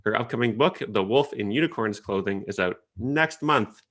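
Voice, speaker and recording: A man from Canada, moderating an online panel in a relaxed, chatty way with hesitant fillers, over a video call. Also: fairly low pitch, normal speed. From Ottawa, Canada.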